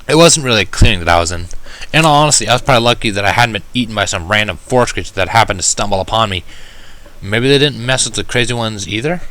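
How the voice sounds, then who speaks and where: A man narrating a first-person story in an audiobook style, reading in a measured, wry manner. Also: on the low side, pushed and bright. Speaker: fan fiction reader.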